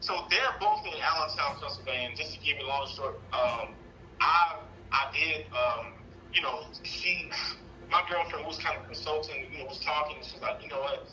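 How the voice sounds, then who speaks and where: American guy on a call rambling through his story, really sharp bright voice, little bit of background noise. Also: on the high side, moderate pace, poor audio. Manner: rambling, frequent "you know". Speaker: caller, father seeking custody. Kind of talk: casual personal storytelling.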